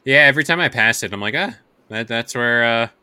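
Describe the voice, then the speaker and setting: A man hosting a casual podcast, talking in an excited, rambling way. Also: mid-range voice, fairly quick, smooth, dry recording. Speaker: podcast host. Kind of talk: casual chat.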